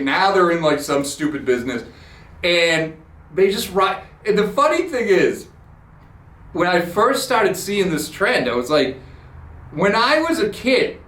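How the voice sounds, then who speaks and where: A man's voice: fairly high pitch, fairly bright tone. A man hosting a podcast, telling a blunt, profane, sarcastic story in a ranting monologue. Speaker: podcast host. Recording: roomy echoey room.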